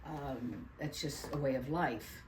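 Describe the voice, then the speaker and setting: An older actress from New York tells animated stories about her roles in a casual interview, with laughing asides. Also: a bass voice, slow, noisy background. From USA (New York). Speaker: guest actress. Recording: roomy echo.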